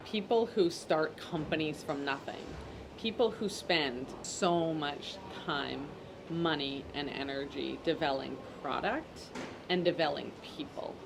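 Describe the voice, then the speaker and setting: A woman's voice: sits low, measured pace, vocal fry, noisy background. A woman interviewing a guest in an engaged, affirming way. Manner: engaged, affirming, uses metaphors. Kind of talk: interview conversation.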